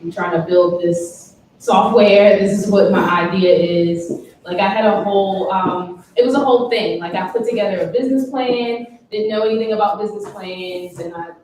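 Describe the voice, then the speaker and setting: A woman's voice: mid-range voice, moderate pace, darkish tone. A woman founder explaining things in a practical, casual way on a panel. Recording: echoey room from far off, faint noise.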